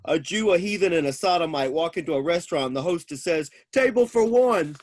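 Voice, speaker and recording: Man acting a folksy, stubborn salesman, higher-pitched. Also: lively intonation, a little fast, a little mellow. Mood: crass, dismissive. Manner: folksy ungrammatical talk, chuckling. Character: gruff folksy male boulder seller, crass and stubborn. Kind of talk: staged play reading.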